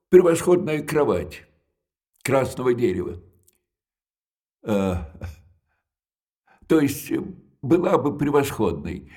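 An actor playing an elderly, widowed male junk-shop owner in a radio drama. He talks slowly and hesitantly, and his sentences trail off softly between pauses. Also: mid-range voice, husky, heavily acted. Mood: hospitable. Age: older.

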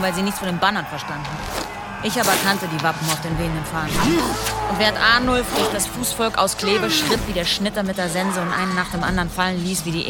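A woman's voice: lowish voice, natural voice. Battle-hardened female warrior played by an actress, recounting combat in a radio drama over prominent music. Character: battle-hardened medieval warrior woman recounting her fights. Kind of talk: dramatic first-person narration in an audio drama. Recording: music under the voice.

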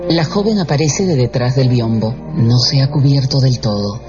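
A man's voice: mid-range voice, normal speed. A man giving dramatic scripted narration for a radio drama. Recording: dull lo-fi broadcast with music behind.

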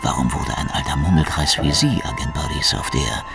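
An actor playing a sardonic, hardboiled Soviet secret police investigator, dry and probing, in a German radio crime drama. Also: male voice, extremely low pitch, a little fast, gravelly, over music, full character voice.

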